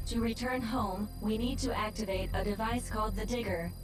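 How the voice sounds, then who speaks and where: Woman voicing a high-pitched female AI mission guide, measured. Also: fairly even intonation, far from the mic, music in the background, lightly acted, rough recording. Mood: calm, earnest. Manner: measured, earnest, explanatory. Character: polite female AI machine, calm mission guide. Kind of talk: scripted video game dialogue.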